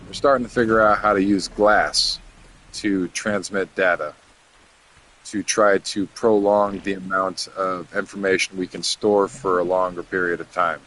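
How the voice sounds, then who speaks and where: A man's voice: low voice, steady tone, measured pace, a bit bright, slight background noise, low-quality recording. A man in his thirties chatting casually to his viewers while he plays a game, rambling from topic to topic and muttering to himself as he works through menus. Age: 30s. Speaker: game streamer.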